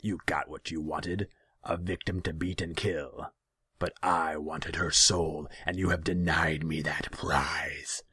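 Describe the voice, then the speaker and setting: A man voicing a scornful, imperious Rakshasa demon in a fantasy story, drawing words out slowly and contemptuously. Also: very deep voice, little pitch movement, bright, forceful tone, husky, dry recording, clean studio sound, full character voice. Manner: slow, drawn-out, contemptuous.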